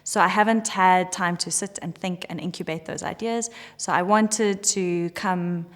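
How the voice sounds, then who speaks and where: A young woman from South Africa, an artist talking thoughtfully in a documentary interview, with many pauses and fillers. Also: fairly low pitch, fairly even intonation, big-room sound. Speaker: artist in residence.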